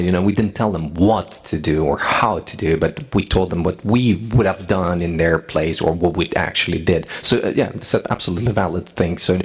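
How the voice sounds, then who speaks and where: A man's voice: low-pitched, slightly rough. A man from Lithuania answering a question on a conference panel, hesitant and full of fillers and restarts. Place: parliament conference hall.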